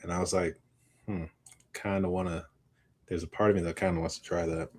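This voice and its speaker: A man with a fairly low voice chats as co-host of a live podcast. His delivery is informal and conversational, and he tends to ramble, backing up to correct his wording as he explains things. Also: level tone, a little fast, a little mellow. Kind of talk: casual live podcast chat.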